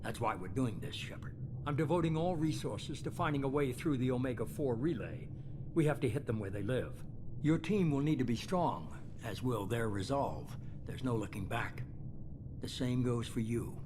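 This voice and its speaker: A man playing a composed, calculating mastermind with a measured, authoritative manner in a video game drama. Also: medium pitch, expressive, noisy background, close to an everyday voice. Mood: grave, commanding. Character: calculating, composed male mastermind of shadowy organization.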